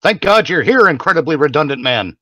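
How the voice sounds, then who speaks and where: A man's voice: on the high side, big pitch swings, fairly quick, bright, forceful tone. A man trading sarcastic wisecracks in casual livestream banter.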